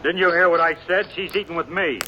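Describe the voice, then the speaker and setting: An actor playing a jealous, threatening young frontier bully in a 1950s radio drama. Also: male voice, a little high, brisk, muffled recording, natural voice. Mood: belligerent. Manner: sullen, threatening, rough grammar. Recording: hissy old radio broadcast.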